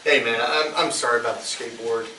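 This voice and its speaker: A man playing a brash, selfish yuppie at a staged comedy table read, impatient and loud, stammering when flustered. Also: medium pitch, fast talker, close to an everyday voice. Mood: sheepish. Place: live staged read at a party. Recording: distant mic in noisy, echoey room.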